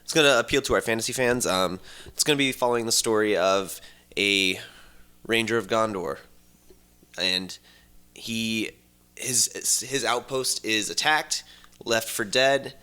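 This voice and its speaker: A man co-hosting a podcast, pitching ideas casually in a halting, tentative way. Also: pushed and bright, clear, resonant tone. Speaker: podcast co-host.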